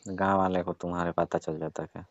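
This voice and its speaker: Man, low register, domineering boss manner, dull lo-fi phone recording. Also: little pitch movement, fairly quick, dry recording. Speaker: employer on a call with worker. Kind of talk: private phone conversation. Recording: muffled phone call recording.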